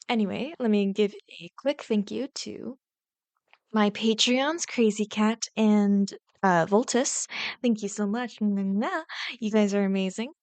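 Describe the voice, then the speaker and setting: A woman gives a casual, rambling outro to her online audience, playful and gushing. Her mid-pitched voice has a noticeable creak and is recorded very dry. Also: no room sound. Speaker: YouTube ASMR voice actor. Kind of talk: casual chatty outro to viewers.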